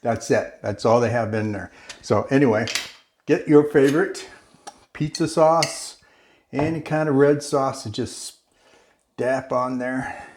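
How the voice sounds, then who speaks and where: Laid-back guy making little pizzas in his kitchen, talks slow, jokes around a bit. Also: medium pitch. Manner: relaxed and chatty, slips into a joking mock-Italian accent. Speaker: home cooking video host. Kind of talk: casual cooking demonstration.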